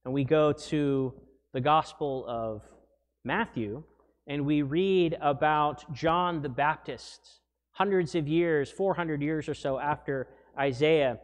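High-voiced pastor preaching slowly in church. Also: male voice. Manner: animated, gesturing while preaching. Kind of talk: sermon. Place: church sanctuary, indoors. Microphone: gooseneck pulpit mic. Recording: long church reverb.

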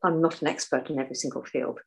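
Older woman guest, soft low voice, gestures a lot, video-call sound. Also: fast talker, vocal fry, low-quality recording. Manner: animated, waves arms while talking. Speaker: guest author and artist. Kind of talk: casual video call interview. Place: home room, indoors.

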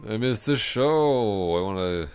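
A man playing a drunk, lovestruck coworker in an intimate ASMR roleplay, rambling bashfully, halting, repeating himself and putting himself down. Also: lowish voice, expressive, a little mellow, smooth, soft background music. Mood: giddy, rambling.

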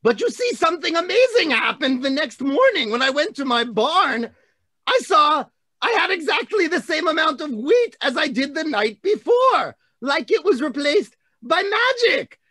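A middle-aged man voicing an excitable, big-hearted dinosaur hand puppet, telling a children's story with theatrical delivery. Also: very high voice, moderate pace, no room sound, exaggerated voice. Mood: earnest storytelling. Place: room at home. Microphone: video call, computer mic.

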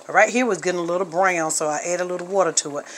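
A woman's voice: on the low side, racing. A woman, chatting her way through a home cooking video in her kitchen, often calling out to her viewers. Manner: chatty, homey, keeps saying y'all. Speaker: home cook vlogger. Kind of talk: casual cooking narration. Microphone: phone camera held over the pot. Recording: noisy kitchen phone recording. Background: clinking pots and utensils.

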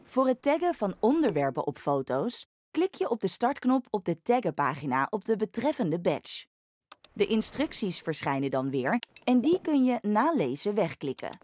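Woman narrating a tutorial, lively intonation, dry sound. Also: mid-range voice, no room sound. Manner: clear step-by-step explaining. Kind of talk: scripted instructional voice-over.

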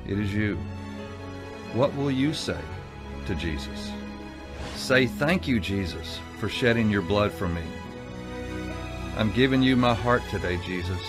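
A man narrating a gospel video in a slow, earnest, scripted way. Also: medium pitch. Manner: slow, earnest, measured delivery. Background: background music.

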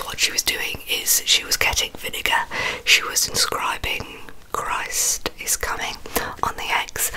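A woman in her thirties tells a scripted story in a breathy, unvoiced ASMR whisper, close to the microphone in a small bedroom. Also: rough recording. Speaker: ASMR host. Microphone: large microphone close to the mouth.

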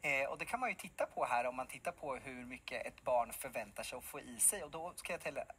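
A man in his thirties, a pediatric specialist nurse, explaining in a relaxed way with many fillers, interviewed in a TV studio on a lapel mic. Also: medium pitch, slightly rough. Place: bright TV studio.

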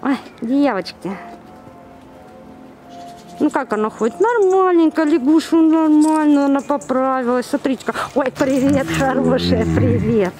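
A woman's voice: high voice, very animated, measured pace, over music. A woman cooing affectionate baby talk to animals in a repetitive, playful way, filming outdoors on a handheld camera. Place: outdoors beside a zoo lion enclosure. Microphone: handheld camera, speaker behind it.

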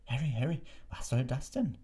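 A man acts out a patronising older male minister in a storybook reading. The voice sits above a normal speaking pitch, fussy and placating, with condescending swoops. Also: big pitch swings, fast talker, dark tone, close to an everyday voice. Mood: agitated concern.

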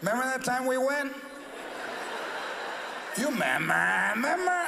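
A man doing stand-up comedy, his voice pitched very high as he darts between characters and Spanish phrases. He speaks into a handheld mic on a big theater stage. The live recording carries clear audience noise. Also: big pitch swings, unhurried, roomy sound.

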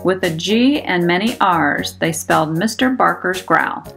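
Creaky, lowish-voiced woman reading a storybook. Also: unhurried. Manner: expressive, careful enunciation for young listeners. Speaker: storybook reader. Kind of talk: scripted children's storybook narration. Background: music.

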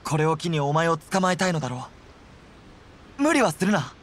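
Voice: medium pitch, very animated, fairly quick. A performer playing an earnest, loyal and fiery young male resistance general in an anime game, speaking resolutely. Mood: explaining, concerned. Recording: prominent music under the voice.

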